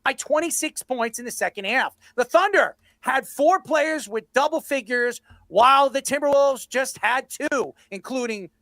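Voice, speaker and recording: A man's voice: very high-pitched, animated, normal speed, few pauses, bright, forceful tone, no room sound. A man hosting a sports debate show, blunt and combative, given to ranting and swearing.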